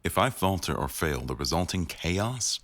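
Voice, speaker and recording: A man playing an attentive, dry-witted male news boss in an intimate boyfriend ASMR roleplay, speaking in a measured, gently teasing way. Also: low-pitched, sing-song, no echo. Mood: candid, dry. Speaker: audio roleplay performer.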